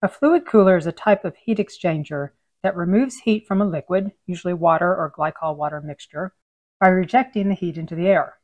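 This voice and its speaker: Woman, 30s, training-video presenter; clear, measured explainer, lowish voice, dry close mic. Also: no room sound, muffled recording. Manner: clear, steady instructional delivery. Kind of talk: scripted technical explainer over drawings.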